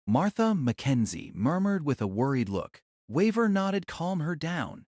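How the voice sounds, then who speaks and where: A man's voice: fairly high pitch, very animated, measured pace, darkish tone, no room sound, studio quality. A man narrating a scripted audio drama as a storyteller.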